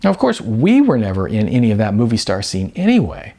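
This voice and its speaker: Brash middle-aged female talent agent played by a man, wisecracking and emphatic, swooping pitch, creaky edges, audiobook dialogue. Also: wildly swooping pitch, fairly quick, warm tone, heavily acted. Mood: chatty, reminiscing.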